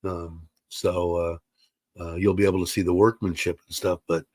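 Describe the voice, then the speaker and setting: Rambling low-voiced man, slightly congested. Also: level tone, normal speed, darkish tone, dry recording. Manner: rambling, chatty, with many fillers and restarts. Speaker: livestream host. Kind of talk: casual livestream chat. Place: at his computer at home. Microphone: computer mic.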